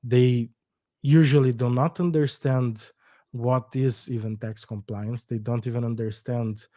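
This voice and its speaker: Brazilian man with a warm, dry voice. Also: medium pitch, steady tone, a little slow, no echo. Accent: Brazilian Portuguese. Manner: earnest, explanatory, with restarts. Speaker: startup co-founder guest. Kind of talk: podcast interview.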